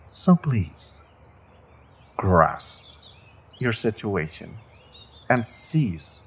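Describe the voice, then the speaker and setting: A man's voice: deep voice, breathy edge, exaggerated voice. A man playing a menacing, condescending evil sorcerer in a fantasy audio roleplay, speaking to a captive with theatrical deliberation. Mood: cold, warning. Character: menacing, controlled evil male sorcerer, captor taunting prisoner. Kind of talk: scripted fantasy audio roleplay, intimate second-person monologue.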